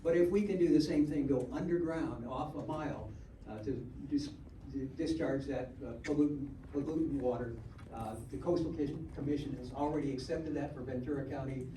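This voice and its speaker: A man's voice: mid-range voice, brisk, mellow. A man answering audience questions at a homeowners meeting, informative and full of uh and um. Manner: informative, with frequent uh and um. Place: community meeting hall. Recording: echoey, distant, noisy meeting room.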